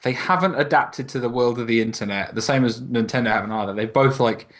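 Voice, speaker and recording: A man's voice: mid-range voice, quick pace, a bit bright. A man chatting casually as a podcast co-host, rambling and exasperated with plenty of swearing. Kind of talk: casual group podcast chat. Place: at home, online voice call.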